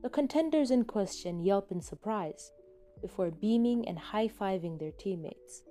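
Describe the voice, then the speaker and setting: A woman's voice: mid-range voice, expressive, a little slow. A woman reading scripted second-person story narration, with music behind her. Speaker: podfic narrator. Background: soft background music.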